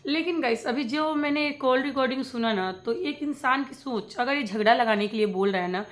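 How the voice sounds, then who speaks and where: A woman's voice: high voice, moderate pace. A woman, talking casually and emphatically to viewers in an opinionated reaction vlog, repeating points and mixing in English words. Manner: emphatic, repetitive, direct address with English words mixed in. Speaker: reaction video creator addressing viewers.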